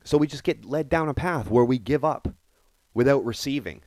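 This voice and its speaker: A man's voice: mid-range voice, animated, moderate pace, darkish tone, dry recording. A man hosting a podcast, giving indignant, emphatic commentary on current affairs and repeating phrases for stress. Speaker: podcast host.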